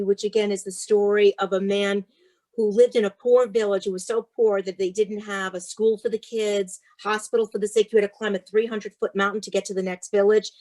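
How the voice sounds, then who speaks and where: A woman's voice: medium pitch, a little mellow. A woman speaking as a guest author, with an emphatic, rhythmic delivery suited to sharing and reading storybooks. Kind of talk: interview talk and expressive storybook read-aloud.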